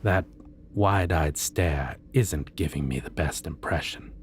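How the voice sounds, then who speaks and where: A man's voice: rumbling low, takes it slowly, heavy background noise, pitched below the performer's own voice. A man playing a theatrical, suave and condescending costumed supervillain in an ASMR roleplay. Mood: exasperated, condescending. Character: theatrical, stately, amused supervillain in costume interviewing a minion.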